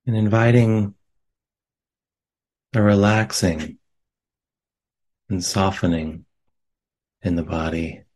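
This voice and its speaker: Male meditation teacher, low register, very slow delivery, gentle phrasing with long silences between short lines. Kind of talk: guided meditation. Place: meditation center.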